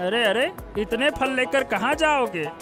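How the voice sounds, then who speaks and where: A high, slow-talking cartoon fruit seller played by a man, chatty and curious. He repeats himself and exclaims, with music underneath. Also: expressive. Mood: friendly, curious. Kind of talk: cartoon story dialogue.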